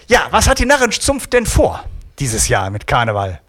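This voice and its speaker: A man's voice: fairly high pitch, wildly swooping pitch, normal speed, a bit bright. A man hosting a casual chat, asking questions and cracking jokes. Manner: joking, chatty interviewer. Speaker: host.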